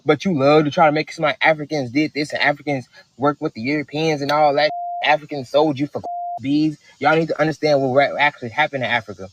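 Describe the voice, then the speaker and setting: A man rants angrily and confrontationally in a clip from social media. His voice sits a little high, with faint noise in the recording behind him. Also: fairly even intonation, fast talker, muffled recording. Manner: angry, confrontational rant.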